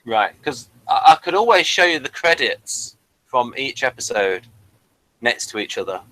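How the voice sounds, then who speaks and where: A man with a mid-pitched, rather sharp and bright voice chats casually as co-host of a fan review. His delivery is halting and hesitant, and he often repeats words as he goes. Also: big pitch swings, rough recording. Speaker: podcast co-host reviewing a TV series.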